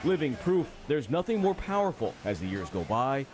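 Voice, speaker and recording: A man's voice: mid-range voice, very animated, normal speed. A man narrating a scripted television news feature as the reporter, with a playful, lilting delivery.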